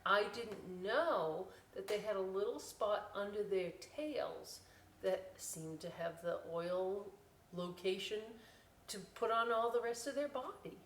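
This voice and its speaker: Slow-paced woman reading to children, roomy echo. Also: mid-range voice, lively intonation, a bit bright, slight background noise. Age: middle-aged. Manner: folksy, chatty, explains words simply for young children. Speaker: library storytime host reading aloud. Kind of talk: children's picture-book read-aloud with casual asides. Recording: roomy echo, distant microphone.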